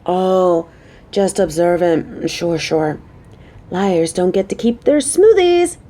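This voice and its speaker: A woman's voice: lowish voice, lively intonation, slow pace, dark tone. A woman playing a caring, playful coworker on a date in an audio roleplay, talking to the listener in a motherly, teasing, chatty way.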